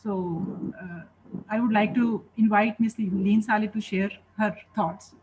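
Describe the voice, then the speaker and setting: A woman moderating a webinar formally, speaking in a hesitant, halting way. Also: mid-range voice, fairly even intonation, darkish tone, highs cut off. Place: online video call. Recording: noisy online call.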